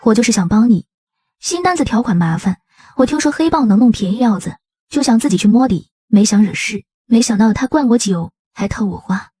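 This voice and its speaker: A woman voicing a tough young female gangster who is fierce yet tender with her lover, husky, in a motion-comic drama. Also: fairly high pitch, lively intonation, fairly quick, dark tone, dry recording, clean studio sound. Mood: apologetic, tearful.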